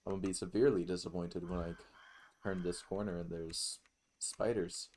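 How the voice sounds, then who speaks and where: A man's voice: low voice, fairly even intonation, normal speed, a little mellow. A man giving casual let's play commentary, murmuring short reactions to himself as he plays. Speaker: gaming video host.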